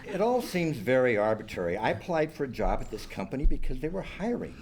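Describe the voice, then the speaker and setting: A man's voice: medium pitch, sing-song, brisk, darkish tone. A man playing an eccentric, shady older office worker in a sitcom table read, offbeat and philosophical. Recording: faint background noise, live room.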